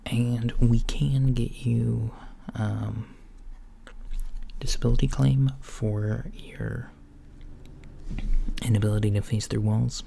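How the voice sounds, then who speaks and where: Soft-spoken, slow, creaky ASMR man. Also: mid-range voice, fairly even intonation. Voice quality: soft, breath-light, often near whisper. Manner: hesitant, understated, casual bedside talk. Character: mild, matter-of-fact alien doctor at a checkup. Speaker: ASMR roleplay performer. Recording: lo-fi with background noise. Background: writing sounds, soft ambience.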